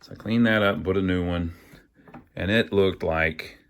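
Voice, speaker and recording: A man's voice: sits low, moderate pace, vocal fry. A man hosting a casual hands-on repair tutorial, thinking aloud in a folksy way as he works. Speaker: host of an amateur radio repair channel.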